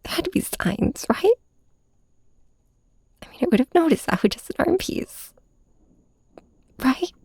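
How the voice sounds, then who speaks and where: Woman acting a wounded young hero in an asmr drama, whispery and halting, gravelly edges, super close and dry. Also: high voice, sing-song, a little mellow, dry recording, full character voice. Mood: anguished, tearful. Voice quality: breathy, often dropping to a whisper. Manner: halting, sighing, emotionally raw. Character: heartbroken young superhero confiding in their villain nemesis. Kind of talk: intimate ASMR audio drama monologue. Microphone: close microphone, dry.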